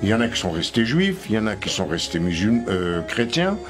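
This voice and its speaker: A man's voice: on the low side, sing-song, fairly quick. Older man explaining, music behind. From Algeria. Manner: hesitant, explanatory, with frequent fillers. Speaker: panel guest. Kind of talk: television panel discussion.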